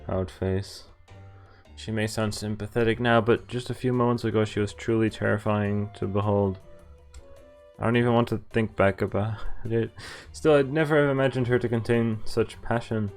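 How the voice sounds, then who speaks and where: A man's voice: fairly low pitch, steady tone, normal speed. A man streaming a visual novel, reading the text aloud and adding casual commentary. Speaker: game streamer. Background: faint game music.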